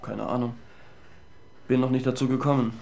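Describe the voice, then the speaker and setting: Flippant male police investigator, played by an actor, higher-pitched. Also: steady tone, moderate pace, vocal fry, darkish tone, a little hiss or hum, natural voice. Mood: matter-of-fact. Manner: casual, cheeky, matter-of-fact. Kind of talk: German radio crime drama acting.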